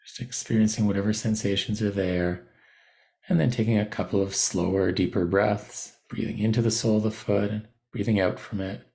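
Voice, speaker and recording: A man leading a guided body scan meditation as a teacher, giving gentle, unhurried instructions with pauses mid-phrase. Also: on the low side, steady tone, creaky.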